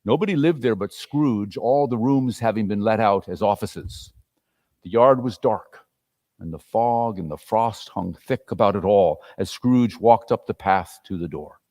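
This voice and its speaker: A man narrating a classic story as a dramatic reading, with expressive, theatrical delivery. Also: lowish voice, measured pace. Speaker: narrator and performer. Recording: reverberant hall.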